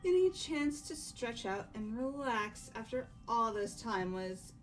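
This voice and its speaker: A woman's voice: fairly high pitch, very animated, measured pace, soft background music, poor audio. A woman voicing an easygoing, openly emotional teenage girl in a fan-dubbed game, speaking plainly. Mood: relaxed contentment.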